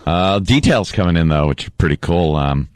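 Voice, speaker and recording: A man's voice: low-pitched, expressive, normal speed, darkish tone, no echo. A man co-hosting a radio show in casual, joking chat.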